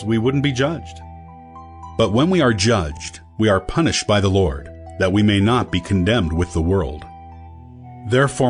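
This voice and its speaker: A man reading scripture as an audio Bible narrator, in a measured, declarative scripted style. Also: on the low side. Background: background music.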